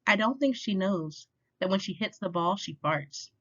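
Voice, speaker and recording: Expressive woman reading aloud, lively pitch. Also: moderate pace. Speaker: storytime reader. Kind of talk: children's picture book read-aloud. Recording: dull lo-fi sound.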